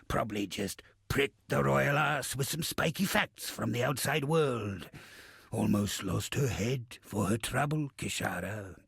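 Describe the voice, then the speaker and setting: Casting: bawdy, roguish old pirate captain in a game; heavy rasp with gravel, stage pirate accent, salty swagger, close dry sound. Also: male voice, medium pitch, measured pace, fairly bright tone, no echo, heavily acted. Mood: conspiratorial storytelling. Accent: stage pirate English. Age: older. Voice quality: raspy, gravelly. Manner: salty, theatrical, swaggering delivery with archaic slang. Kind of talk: video game character acting.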